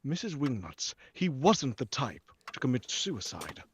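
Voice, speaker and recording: A man's voice: medium pitch, sing-song, unhurried. A man playing a stubborn, sceptical and suspicious house buyer in 1940s radio drama style, on a lo-fi recording. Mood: pointed. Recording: dull lo-fi sound with faint noise.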